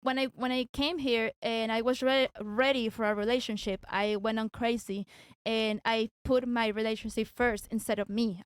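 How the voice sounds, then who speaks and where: Young woman from Venezuela, English, hesitant with restarts, higher voice, smooth clear tone, dry close sound. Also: no echo. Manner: hesitant, searching for words, many restarts. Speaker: guest. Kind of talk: casual debate talk.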